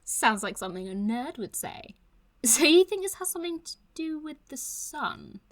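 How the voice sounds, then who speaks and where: Young female show host, bright high pitch, very animated, teasing, breezy, audio drama. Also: normal speed. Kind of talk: casual audio drama dialogue as a podcast host.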